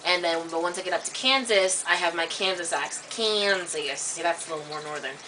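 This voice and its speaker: A woman vlogging in a casual, rambling monologue to camera, full of "like" and "um", getting animated and high when worked up. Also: fairly quick. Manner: chatty, filler-heavy, many "like" and "um" restarts. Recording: distant webcam mic with faint hiss.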